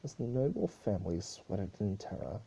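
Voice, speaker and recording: A man's voice: lowish voice, big pitch swings, normal speed, creaky, mellow, a little hiss or hum, rough recording. A man narrating fan fiction as an amateur dramatic reader, occasionally stumbling over the words.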